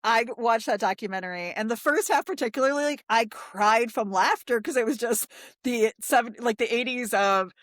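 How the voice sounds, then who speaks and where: A woman's voice: a little high, moderate pace, hardly any pauses, fairly bright tone, no room sound. Chatty woman, fillers galore, dry sound. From small-town Wisconsin. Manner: says "like" constantly, chatty. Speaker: podcast guest. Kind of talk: casual chat.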